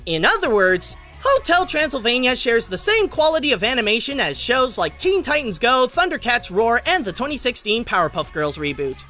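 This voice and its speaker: A man's voice: very high voice, sing-song, moderate pace, hardly any pauses, pushed and bright. A man reading scripted commentary as a reviewer. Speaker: animation reviewer. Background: faint background music.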